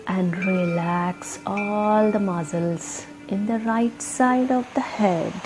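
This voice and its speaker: Female meditation guide: extremely unhurried, soothing delivery in short spaced phrases, faint music underneath. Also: medium pitch, low-quality recording. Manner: soothing, gentle instruction with long pauses. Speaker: yoga meditation guide and channel host. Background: soft instrumental music.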